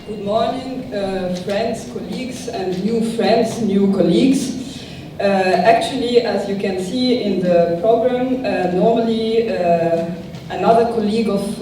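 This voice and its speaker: A Tunisian woman gives an unscripted keynote at a conference, pausing often and searching for words. Her voice is dark and mellow. It is picked up from a distance in a very echoey hall, with faint room noise behind her. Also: medium pitch. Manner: hesitant, many fillers, thinking aloud. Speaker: keynote speaker. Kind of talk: improvised conference speech.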